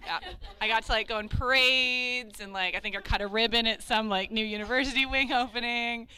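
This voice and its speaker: A woman's voice: on the high side, steady tone, a little fast, bright, forceful tone, slight background noise. A woman introducing herself to a group of new students in a casual, chatty way with many fillers. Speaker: career services director.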